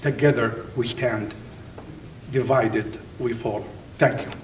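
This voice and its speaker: A man with an Arabic accent giving an inspirational religious speech as an imam, emphatic and deliberate, with repetition and pauses. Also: steady tone. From Middle East, Palestinian Jordanian. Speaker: imam and university teacher speaking at a dinner. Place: large dinner banquet room. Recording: roomy, distant mic, faint rumbling noise.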